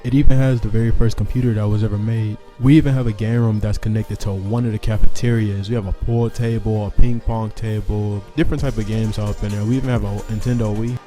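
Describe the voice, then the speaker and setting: Deep-voiced young man narrating over music. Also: steady tone, quick pace, few pauses, mellow. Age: 20s. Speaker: vlogger, intern. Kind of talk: casual vlog narration. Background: instrumental music.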